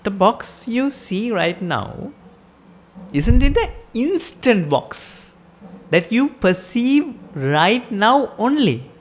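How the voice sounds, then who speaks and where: Slow, lively-toned woman giving a sermon. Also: a little high, a little hiss or hum. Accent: Sri Lankan. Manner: questioning, explains step by step with rhetorical questions. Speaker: Buddhist teacher.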